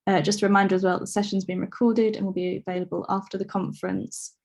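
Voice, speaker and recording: A woman chairs a conference session and moves briskly through the introduction and housekeeping notes. Her voice is mellow and soft-edged, at an ordinary pitch. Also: level tone, gravelly. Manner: brisk announcements. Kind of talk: introducing a speaker.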